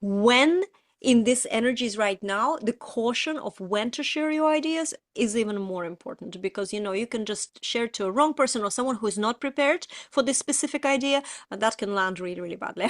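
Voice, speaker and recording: A woman's voice: mid-range voice, a little fast. A woman with a Russian accent, Russian her first language, co-hosting a casual talk and breaking off often to laugh and restart her sentences. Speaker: podcast co-host, coach. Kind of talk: casual chat.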